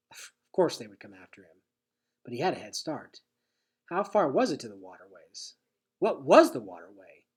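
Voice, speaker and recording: A man reading a children's adventure novel aloud in an expressive, dramatic storytelling style. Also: fairly high pitch, slightly rough.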